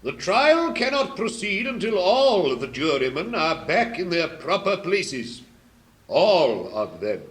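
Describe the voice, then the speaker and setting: A woman's voice: lowish voice, big pitch swings, unhurried, voice pushed up. A woman voicing a muddled, self-important old King in a storybook reading, with pompous, flustered manner and sudden shifts from barked orders to muttering.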